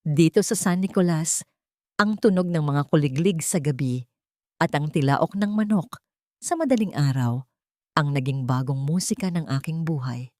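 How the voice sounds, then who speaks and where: A woman's voice: sits low, expressive, normal speed, a little mellow, no echo, studio quality. A woman narrating a scripted first-person dramatic story as an audiobook narrator.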